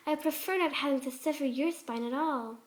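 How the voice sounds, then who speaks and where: A woman voicing a sweet, soft-spoken but twisted female pegasus pony surgeon, gentle and cooing in a story reading. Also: high-pitched, a little fast, natural voice. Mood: sweetly apologetic. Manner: gentle, cooing, serenely unhinged.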